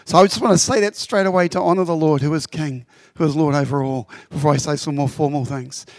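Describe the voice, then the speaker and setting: Brief: incoming pastor from Papua New Guinea, raised speaking Tok Pisin, fervent and heartfelt, slightly high voice, dry sound. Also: male voice, fast talker, no echo. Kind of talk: address to congregation. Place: church.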